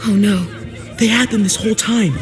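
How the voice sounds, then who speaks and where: An actor playing an anxious, loyal companion in a video game survivor drama, tense and urgent. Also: male voice, high-pitched, steady tone, moderate pace, a crowd in the background, close to an everyday voice. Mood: shocked.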